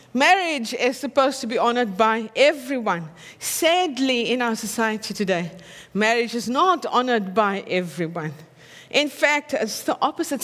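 A woman's voice: high voice, a bit bright, big-room sound. A woman with an Afrikaans accent preaching a sermon in a conversational way, often checking in with her listeners through tag questions.

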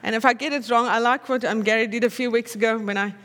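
A woman's voice: on the high side, fairly even intonation, fast talker, fairly bright tone, long reverb tail. A woman with an Afrikaans accent preaching a sermon in a conversational way, often checking in with her listeners through tag questions.

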